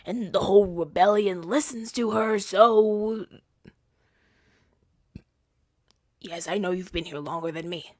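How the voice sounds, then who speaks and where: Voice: mid-range voice, normal speed, no echo, studio quality, close to an everyday voice. A woman playing a flustered, self-righteous hero in a scripted ASMR audio drama, with a theatrical, stammering delivery. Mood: earnest, exasperated. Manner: stammering, indignant, dramatic delivery with breathy whispers.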